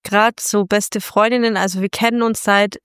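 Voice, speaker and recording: A woman's voice: medium pitch, steady tone, normal speed, fairly bright tone, clean tone, dry recording, clean studio sound. A woman in her late thirties co-hosting a casual podcast, friendly and a little hesitant with fillers. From Germany. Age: 30s. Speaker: podcast co-host. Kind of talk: casual chat.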